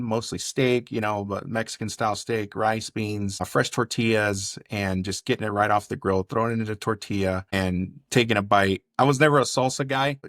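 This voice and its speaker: A man from California speaks as a guest chef on a podcast. His voice sits fairly low and is recorded close and dry. He tells his stories in a casual, conversational way, filling them out with detail. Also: fairly bright tone, no room sound. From Bakersfield, California. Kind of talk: casual interview storytelling.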